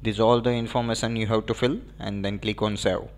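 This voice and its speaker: A man with an Indian English accent giving a screen-recorded software walkthrough, pausing often with fillers. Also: lowish voice, little pitch movement. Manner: hesitant, frequent filler pauses. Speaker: software demo presenter.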